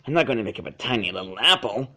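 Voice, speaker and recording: A man's voice: on the low side, expressive, racing, pushed and bright, full character voice. Man playing a grumbling, unsure young dragon. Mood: frustrated. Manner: plaintive, grumbling. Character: young male dragon, unsure and easily frustrated.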